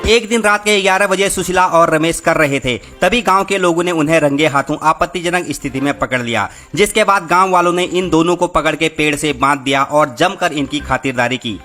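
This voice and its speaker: A man's voice: fairly high pitch, fairly quick, few pauses, sharp tone. A man narrating a scripted sensational story as host, dramatically addressing his listeners. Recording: music behind the voice. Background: background music.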